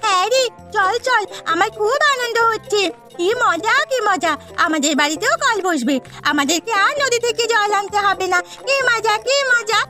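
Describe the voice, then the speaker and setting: Woman voicing a very high, bright cartoon village girl. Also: expressive, moderate pace, soft background music, exaggerated voice. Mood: gleeful. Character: earnest, eager young village sisters selling snacks. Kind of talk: Bengali cartoon voice acting.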